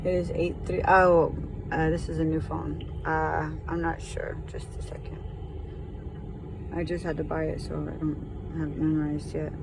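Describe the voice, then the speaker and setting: A woman's voice: sits low, level tone, gravelly. Hesitant woman caller with background noise. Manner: hesitant, halting. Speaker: caller reporting to sheriff's department. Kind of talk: explanatory phone conversation. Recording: noisy phone call, car and traffic noise.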